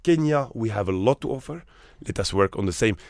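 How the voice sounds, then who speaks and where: A man's voice: lowish voice, animated, a little fast, a little mellow, no echo, studio quality. A man from Morocco who manages hotels, talking as an interview guest with emphatic rhetorical questions.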